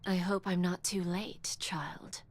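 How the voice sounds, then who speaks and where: A woman playing an elegant, patient, calculating lady dealmaker in a video game, with a silky, breathy, patronizing delivery over music. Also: fairly low pitch, little pitch movement, slow, slight background noise. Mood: smooth, teasing. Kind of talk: English game voice acting.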